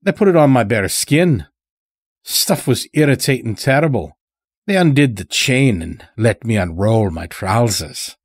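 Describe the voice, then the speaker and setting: A man performs a weary, sly old man from a dark audio story. His pitch is lifted and swings widely, and he rambles along in folksy, clipped grammar. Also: expressive, measured pace, dry recording, studio quality. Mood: wry, easygoing. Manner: folksy, rambling, dropped words.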